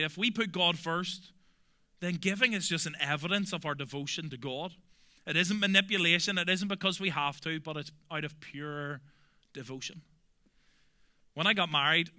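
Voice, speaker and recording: A young adult man from Belfast with a Belfast accent, preaching a sermon earnestly and emphatically, often dropping to soft, quiet endings. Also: fairly high pitch, clear, resonant tone. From Northern Ireland. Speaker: pastor.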